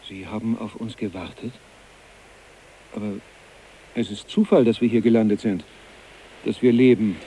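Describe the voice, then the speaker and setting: Actor as a brooding husband, low voice. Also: male voice, normal speed, long pauses, mellow, a little hiss or hum, close to an everyday voice, poor audio. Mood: weary relief. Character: brooding, weary, restless husband on a balloon journey. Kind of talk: radio drama acting.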